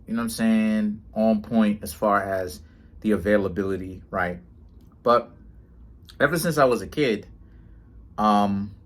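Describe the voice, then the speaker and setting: Rambling New man, fairly low voice. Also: a bit bright. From Trinidad and Tobago, raised in New York City. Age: 30s. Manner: rambling, often tags lines with "right?" and "you know what I'm saying". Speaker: tarot reader and vlogger. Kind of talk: casual monologue to camera.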